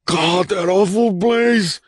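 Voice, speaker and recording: Hoarse, terrified, half-mad man. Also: high voice, slow pace, no room sound, clean studio sound, pitched above the performer's own voice, heavily acted. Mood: frenzied terror. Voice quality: hoarse and strained. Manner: pleading, urgent, trembling.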